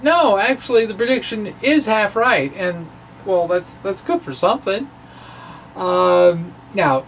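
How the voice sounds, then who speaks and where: A middle-aged physics blogger gives an informal dramatic reading, halting and emphatic, with trailing asides. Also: medium pitch. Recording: background noise, ambient sound.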